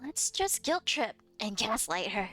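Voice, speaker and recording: A woman's voice: mid-range voice, sing-song, a little slow, bright, forceful tone. A woman streaming a game, making joking, wry comments and laughing often. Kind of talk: casual reactions over gameplay. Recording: with game music behind.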